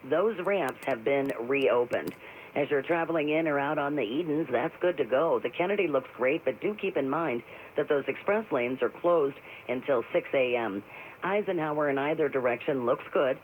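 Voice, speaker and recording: A man's voice: medium pitch, expressive, moderate pace. A man giving a live radio traffic report. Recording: tinny, faintly noisy AM radio.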